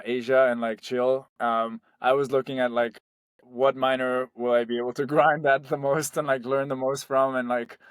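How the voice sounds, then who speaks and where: A man's voice: medium pitch, dry recording. Young man chatting freely, dry sound. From Spain. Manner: loose and conversational, heavy on "like" and "um". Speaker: startup founder, guest. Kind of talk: casual interview.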